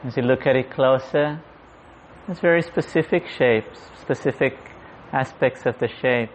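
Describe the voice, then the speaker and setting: A man guiding a meditation in a gentle, unhurried manner, leaving long pauses. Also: mid-range voice. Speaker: meditation guide. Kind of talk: guided meditation. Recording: muffled audio with clear background noise.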